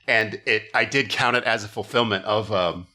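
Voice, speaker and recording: Bright-voiced man, wry podcast host. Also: fairly low pitch, moderate pace. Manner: wry, profane, joking. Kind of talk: casual comedic storytelling.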